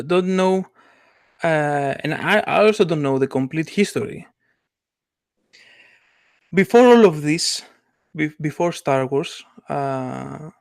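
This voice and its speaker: A man co-hosting a casual sci-fi podcast, speaking haltingly with many fillers and clear enthusiasm. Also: on the high side, no room sound, studio quality. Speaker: podcast co-host, comic artist. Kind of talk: casual chat.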